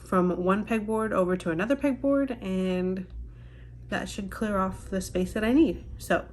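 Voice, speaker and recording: A young woman talks in an easygoing, chatty vlog style, filling pauses with ums. Her voice sits at an ordinary middle pitch and sounds a little nasal from a stuffy nose. She is recorded on a phone held close in a small home craft room. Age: young adult. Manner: relaxed, chatty, many ums. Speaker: small business owner vlogger. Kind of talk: casual vlog chat. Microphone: phone camera at arm's length.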